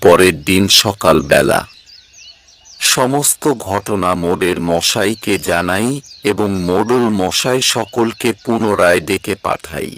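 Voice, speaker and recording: A man's voice: deep voice, takes it slowly, gravelly, fairly bright tone. A man narrating a scripted cartoon folk tale, with music underneath.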